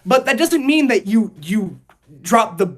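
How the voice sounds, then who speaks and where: A man co-hosting a casual podcast discussion, talking in an animated storytelling way and acting out imagined reactions. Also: very high voice, unhurried. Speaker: podcast co-host.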